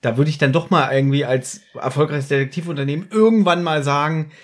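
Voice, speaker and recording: A man's voice: fairly high pitch, quick pace. A man, hosting a podcast in a casual, chatty style as he recaps and discusses a story. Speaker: podcast host leading an episode discussion. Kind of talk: casual chat, recapping an audio drama episode.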